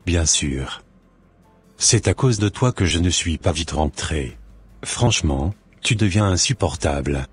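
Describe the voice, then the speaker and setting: A man playing a weary, reproachful husband in a cartoon, speaking in deliberate short phrases. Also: low voice, expressive, slightly rough, faint music behind, full character voice. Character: exasperated husband fed up with quarrelling. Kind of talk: scripted cartoon dialogue.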